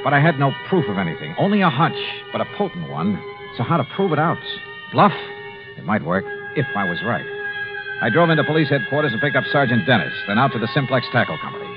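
A muffled old radio recording of a tough, shrewd American male investigator. He speaks in clipped, hard-boiled phrases with lively swings of pitch, telling his case in 1950s detective drama style. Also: fast, loud music behind the voice. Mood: brooding, determined. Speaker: lead actor, narrator.

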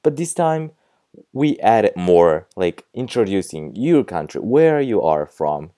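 Deep-voiced young man with Japanese accent. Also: sing-song, takes it slowly, dark tone, dry recording, clean studio sound. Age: young adult. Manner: chatty, fills speech with "like" and "you know". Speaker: podcast host and language teacher. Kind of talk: casual podcast lesson.

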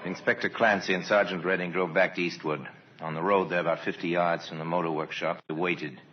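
An actor as a very deep-voiced grave crime narrator. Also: male voice, fairly even intonation, over music, natural voice. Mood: grave, measured. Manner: deliberate, portentous storytelling. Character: grave, measured male narrator of true crime tales. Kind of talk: 1950s radio crime drama narration. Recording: muffled, noisy old radio recording.

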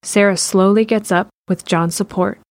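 A woman's voice: mid-range voice, fairly even intonation, moderate pace, no echo. A woman reading scripted narration for an audio drama, describing the action as narrator. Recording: faint music under the voice.

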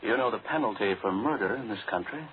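An actor plays a melodramatic radio performer from the 1940s. His middle-pitched voice is animated and theatrical. The sound is tinny, with faint noise. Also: fast, lightly acted. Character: radio actor rehearsing a melodramatic victim role.

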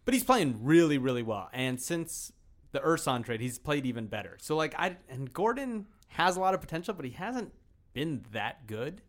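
A man's voice: on the high side, big pitch swings, moderate pace. A man co-hosting a podcast, talking sports in a casual, conversational style. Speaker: podcast co-host.

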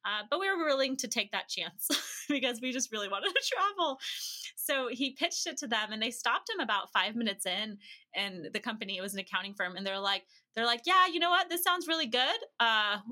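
Bright, high-voiced chatty woman. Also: lively intonation, brisk. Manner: chatty, laughs easily. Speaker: podcast guest. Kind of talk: casual anecdotal storytelling in an interview.

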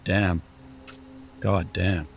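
Depressed young man, played by male actor, horror drama: deep, fry-edged, terse, dryly skeptical. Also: level tone, drawn-out words, a little hiss or hum, heavily acted. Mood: uneasy awe. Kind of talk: horror audio drama dialogue.

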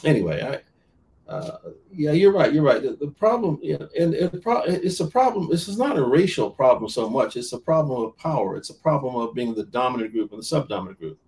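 A man's voice: medium pitch, very animated, brisk, darkish tone, recorded from a distance, rough recording. A man who works as a minister, talking earnestly in an interview and often restarting and repeating words mid-sentence. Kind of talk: podcast interview talk.

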